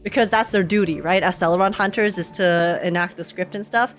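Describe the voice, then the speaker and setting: A young woman streamer giving casual, slangy, excitable live reactions to a game. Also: lowish voice, a little fast. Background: game music in background.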